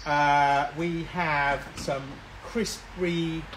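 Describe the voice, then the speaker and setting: A man's voice: on the high side, steady tone, very slow, fairly bright tone, low-quality recording. A middle-aged man with a cold, hosting a live barbecue cook outdoors in a cold garden, chatty and jokey, filmed on a handheld phone. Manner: chatty, jokey, rambling with ums. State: sniffly with a cold, coughing. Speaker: barbecue cooking host. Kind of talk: casual live cooking stream. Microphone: phone camera held by another person. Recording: outdoor background noise. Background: outdoor ambience.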